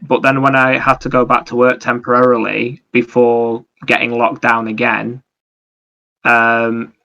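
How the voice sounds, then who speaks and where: A man's voice: medium pitch, vocal fry, bright, forceful tone, poor audio. A man chatting casually as a podcast co-host about video games, explaining things in a rambling, anecdotal way.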